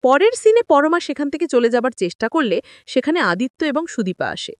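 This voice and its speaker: High-pitched, animated woman narrator in a dry recording. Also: a little fast, dry recording. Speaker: narrator of TV serial episode recaps. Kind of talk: scripted story recap narration with acted-out dialogue.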